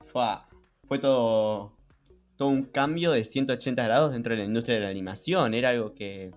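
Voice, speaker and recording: A man's voice: medium pitch, normal speed, faint music behind. Argentine man chatting as podcast co-host. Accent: Rioplatense Argentine. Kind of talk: casual conversational podcast.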